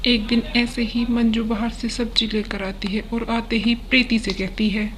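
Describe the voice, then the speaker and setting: A woman's voice: a little high, little pitch movement, moderate pace, a bit bright, a little hiss or hum. A woman storyteller reading scripted narration for a cartoon moral story.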